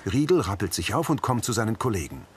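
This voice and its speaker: A man's voice: on the low side, big pitch swings, fast talker, slight background noise. A man reading scripted audio description of scenes as a narrator. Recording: music under the voice.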